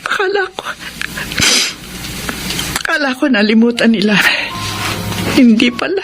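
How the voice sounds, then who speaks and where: Gentle, sentimental older mother-in-law, radio drama, unhurried and tender, music behind. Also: a little high, very animated, low-quality recording. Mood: wistful, surprised. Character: warm elderly mother-in-law, gentle, sentimental, easily moved. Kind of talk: Filipino radio drama acting.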